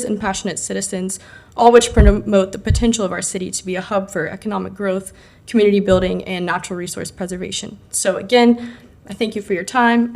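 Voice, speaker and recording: A woman applying to a city board gives a prepared, earnest speech, her voice slightly raspy from something in her throat. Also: mid-range voice. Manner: earnest, formal, slightly nervous fillers. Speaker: board applicant giving public remarks. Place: council chamber.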